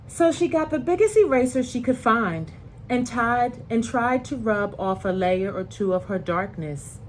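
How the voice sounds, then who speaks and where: Slow-paced woman reading to children. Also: a little high, a little hiss or hum, low-quality recording. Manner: slow, deliberate, explains pictures in simple words. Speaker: storyteller reading a picture book aloud to children. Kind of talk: expressive read-aloud with asides to young children.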